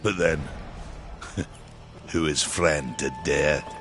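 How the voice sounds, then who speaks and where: An actor playing a gruff, wry old warrior of a maker folk in a video game, with folksy turns of phrase. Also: male voice, extremely low pitch, extremely slow, over music, full character voice. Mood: wry warning. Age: older. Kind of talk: video game cutscene acting.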